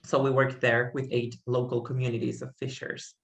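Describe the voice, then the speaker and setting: A young man with a Guatemalan Spanish accent and a fry-edged voice talks through a presentation at a middle pitch. He sounds friendly and earnest, and he fills his speech with ums and restarts. The video call audio has a noticeable room echo. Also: monotone, moderate pace, muffled recording. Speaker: conservation organization founder. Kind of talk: online presentation talk.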